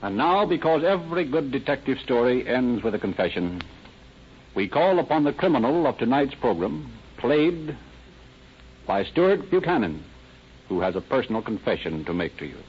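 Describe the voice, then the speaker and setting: A man's voice: mid-range voice, very animated, moderate pace, slightly rough. A man announcing as narrator in the formal scripted style of 1930s radio. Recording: dull old radio recording, faint music.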